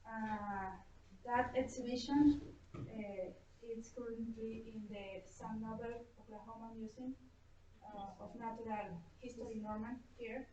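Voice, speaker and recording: Halting woman presenter in a very echoey hall. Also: on the high side, steady tone. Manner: halting non-native English, apologetic. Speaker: presenter introducing guest speakers. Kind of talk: reading prepared introductions aloud. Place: echoey indoor hall at a live event. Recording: very echoey hall, distant muffled mic, faint noise.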